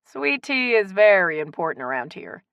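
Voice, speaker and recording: A woman's voice: mid-range voice, very animated, moderate pace, vocal fry, fairly bright tone, clean tone, no room sound, highs cut off. A woman narrator voicing a frank, wry local mother with a slight Cajun accent in an audiobook story. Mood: matter-of-fact.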